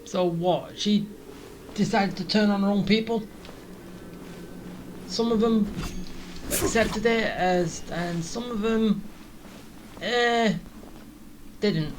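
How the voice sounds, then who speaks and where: A woman's voice: mid-range voice, takes it slowly, pauses often, a little hiss or hum, rough recording. A woman giving casual, offhand gameplay commentary, musing aloud as a video game streamer.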